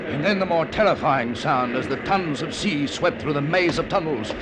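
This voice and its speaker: A man's voice: fairly high pitch, normal speed, very noisy, close to an everyday voice. An actor playing a desperate man who tells of his escape from a flooding mine in dramatic 1940s radio style. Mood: tense, urgent. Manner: dramatic, breathless first-person storytelling. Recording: old radio recording, loud dramatic music.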